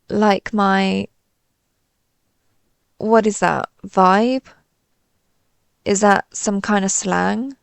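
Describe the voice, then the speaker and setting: Girl voice actor doing a sad suspicious little alien pizza slice, rambly and unsure, lots of vocal fry, super dry audio roleplay sound. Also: on the high side, no room sound. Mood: baffled. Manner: hesitant, rambling, self-deprecating. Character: timid, wounded, suspicious female alien pizza slice, would-be conqueror. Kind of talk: scripted roleplay audio monologue.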